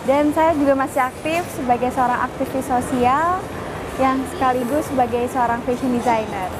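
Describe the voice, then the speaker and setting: A woman's voice: high voice, normal speed, heavy background noise. A woman of twenty-four, speaking in a composed, formal way in an interview. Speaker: interviewee, model and architect. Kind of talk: personal testimony interview.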